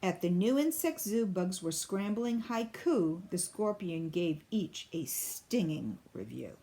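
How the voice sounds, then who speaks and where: Slow-paced woman reading a storybook. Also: on the low side, expressive, warm tone, slight background noise. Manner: deliberate, clearly phrased storybook delivery. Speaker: teacher reading a picture book to a class. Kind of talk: expressive children's read-aloud.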